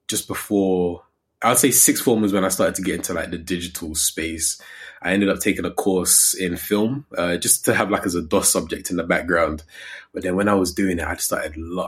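A man in his twenties with an English accent, chatting informally and with slang as a guest in a casual interview. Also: low voice. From Coventry, England. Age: 20s. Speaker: podcast guest, digital creator.

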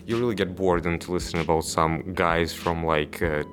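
Low-voiced rambling young man. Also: fairly even intonation, normal speed, over music. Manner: loose and rambling, many "like" fillers. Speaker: student co-interviewer. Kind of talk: casual chat.

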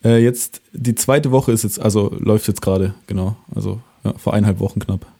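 A young man, a singer-songwriter, talking in a relaxed way with many fillers and a voice that trails off softly. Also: on the low side, fairly quick, gravelly, husky. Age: young adult. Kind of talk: casual interview chat.

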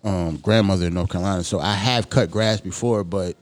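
A man's voice: low voice, fairly quick. A man in his thirties co-hosting a podcast in casual chat, laid-back, swearing and laughing often. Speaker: podcast co-host.

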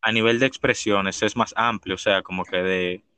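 A man's voice: fairly low pitch, steady tone, normal speed, clean tone, dry recording. A man with a Dominican accent hosting a casual podcast chat, playful and teasing. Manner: playful, teasing, laughs loudly. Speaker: podcast host.

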